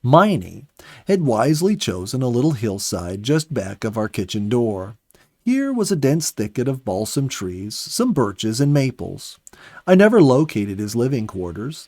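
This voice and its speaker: Expressive male storyteller, very lively pitch. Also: moderate pace, no room sound, clean studio sound. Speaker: storyteller reading an animal story. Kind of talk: scripted narration, storybook reading.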